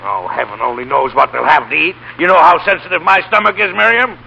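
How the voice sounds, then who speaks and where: A man playing a grumpy, fussy, middle-aged rich husband with a gravelly, forceful voice, in 1930s radio acting. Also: a little high, a little fast, exaggerated voice. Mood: grumbling, impatient. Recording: muffled, hissy 1930s radio broadcast.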